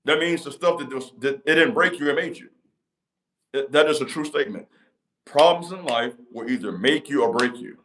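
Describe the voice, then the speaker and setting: A man's voice: normal speed, fairly bright tone. A man, giving a motivational talk to camera in an emphatic, repetitive cadence. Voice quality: deep voice. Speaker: channel host.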